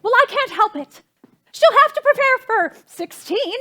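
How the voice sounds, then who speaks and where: A woman's voice: extremely high pitch, lively intonation, measured pace, sharp tone, full character voice. Woman doing a fussy haughty society mother, stage comedy, swoopy and piercing, super theatrical. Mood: bossy, exasperated. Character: fussy, imperious society hostess, mother of a debutante.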